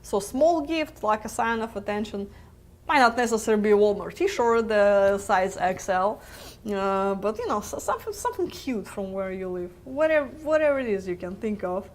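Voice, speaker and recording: A woman's voice: mid-range voice, expressive, moderate pace. A woman hosting a live webcast, answering viewer questions in a chatty way with frequent laughs and fillers, her voice wearing out from talking. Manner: chatty, laughs easily, many filler words and restarts. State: losing her voice.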